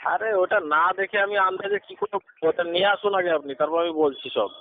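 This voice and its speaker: A man's voice: sits high, brisk. A man speaking as a doctor on a phone consultation, giving brief, businesslike and insistent replies. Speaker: Ayurvedic doctor. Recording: noisy, muffled phone line.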